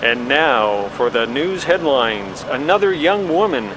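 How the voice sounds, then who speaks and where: Radio drama part: folksy, faintly sinister midnight DJ man acting, mid-pitched, over clear vehicle noise. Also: very animated, unhurried. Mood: ominously jovial. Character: late-night country radio announcer, ominously folksy. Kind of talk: radio DJ and newsreading.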